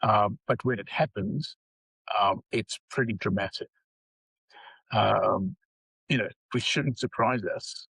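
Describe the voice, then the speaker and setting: An older man with a South African accent, speaking as an interview guest in a measured way with frequent pauses. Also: lowish voice, vocal fry, fairly bright tone, husky, dry recording, rough recording. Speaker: guest psychology researcher. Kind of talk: interview conversation.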